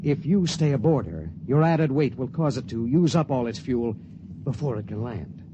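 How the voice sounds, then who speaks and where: An actor playing a grim, conscience-torn spaceship pilot in 1950s radio drama style. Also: male voice, medium pitch, lively intonation, moderate pace, natural voice. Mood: grave, reluctant. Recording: noisy, dull 1950s radio recording.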